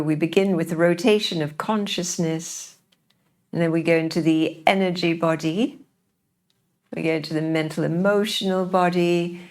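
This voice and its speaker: A woman leads a guided meditation at a very slow pace, leaving space between phrases. Her voice is on the low side with a creaky, gravelly edge. She instructs gently and soothingly, as a yoga nidra teacher. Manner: soothing, gentle, unhurried guiding with pauses.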